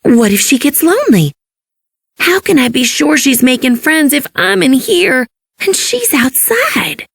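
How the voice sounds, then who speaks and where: A woman voicing a small, anxious and devoted handmade toy in a children's read-along, earnest and excitable. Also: high-pitched, unhurried, gravelly, no room sound, clean studio sound, voice pushed up, heavily acted. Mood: worried.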